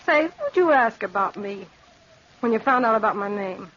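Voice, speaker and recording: A woman's voice: a little high, animated, moderate pace, a bit bright. A woman acting a tough, world-weary young gambler in an old-time radio drama, with a brassy, streetwise manner. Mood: downcast, blunt. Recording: dull old radio recording.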